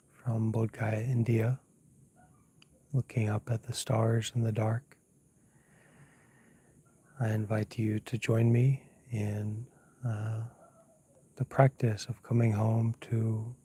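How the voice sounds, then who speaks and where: A man teaching as a monk leads an unscripted guided meditation in a hushed, soft, slightly husky voice, unhurried and pausing often as he gently gives instructions. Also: fairly low pitch. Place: outdoors at night, lying down under a mosquito net.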